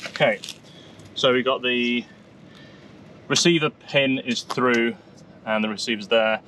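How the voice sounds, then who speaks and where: A man in his thirties, a vlogger, talking outdoors in a wooded clearing and explaining things step by step. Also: medium pitch, steady tone, measured pace, noisy background. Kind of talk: casual explainer vlog.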